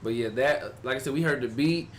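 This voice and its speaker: A man's voice: a little fast, slight background noise. A young man from Houston with a Southern accent, a rapper guest telling stories in a casual podcast interview. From Houston, Texas. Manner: animated storyteller, acts out quotes in a higher voice.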